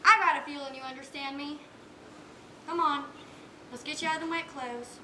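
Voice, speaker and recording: A woman acting a gruff, hard-bitten farm wife on stage, blunt and rustic in her speech, projecting to the house. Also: high-pitched, normal speed, pushed and bright. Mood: gruff, sardonic. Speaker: student actor in a one-act play. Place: indoor stage, recorded live. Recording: roomy stage recording, faint background noise.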